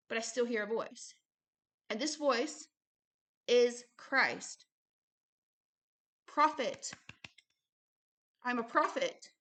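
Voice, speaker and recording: A woman with a fairly high voice delivers religious commentary, moving between reading aloud and her own explanations. She is emphatic and often repeats a phrase to drive it home. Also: pushed and bright. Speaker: host, self-described prophet reading a book aloud with commentary.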